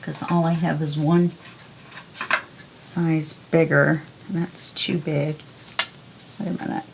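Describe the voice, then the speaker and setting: A woman hosting a crafting tutorial, chatting calmly and unhurriedly as she works. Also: deep voice, gravelly, background noise. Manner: calm, unhurried, talks while working. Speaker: crafting video host. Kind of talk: casual crafting tutorial chat.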